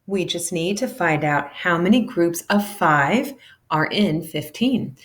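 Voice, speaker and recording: A woman, a teacher, reading a children's book aloud in an expressive, animated way that acts out the dialogue. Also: lowish voice, slow pace.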